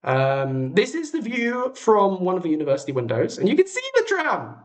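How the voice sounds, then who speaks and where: A young British man talks casually to his livestream viewers. His pitch swings widely as he goes, giving an enthusiastic, whimsical feel to the chat. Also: wildly swooping pitch, normal speed. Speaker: livestream host.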